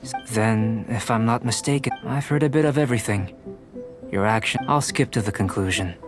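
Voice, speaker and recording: A man voicing a calm, curious young alchemist and painter in a video game, measured and scholarly. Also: on the low side, lightly acted. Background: game music.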